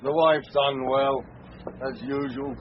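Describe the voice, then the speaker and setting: An actor playing a gruff, world-weary local police inspector in a radio drama, blunt and matter-of-fact. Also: male voice, medium pitch, steady tone, slow pace, close to an everyday voice. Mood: weary, cynical. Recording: dull lo-fi radio drama recording, hiss.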